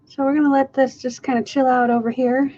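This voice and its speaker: A woman's voice: high-pitched, flat delivery, brisk, dark tone, highs cut off. A woman hosting a live fluid art stream, chatting casually and joking at her own expense. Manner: chatty, self-mocking, laughs often. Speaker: fluid art livestream host. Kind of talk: casual live demo chat. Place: art studio, camera overhead on the table.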